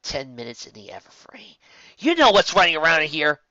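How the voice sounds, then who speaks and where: A man's voice: on the high side, very animated, a little fast, sharp tone, no room sound, lo-fi sound, voice pushed up. A man voicing a brash, impatient young female flying pony in a storybook reading. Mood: annoyed.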